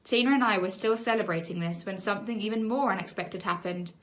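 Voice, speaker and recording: A woman teacher reading a children's book aloud in an animated storybook style, leaning on key words with dramatic emphasis. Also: medium pitch, fast.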